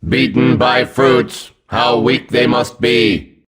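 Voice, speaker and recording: A man voicing a hulking combat robot in a video game, gruff and mechanical, giving clipped, vengeful commands. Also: medium pitch, steady tone, slow, a bit bright. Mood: menacing, vengeful.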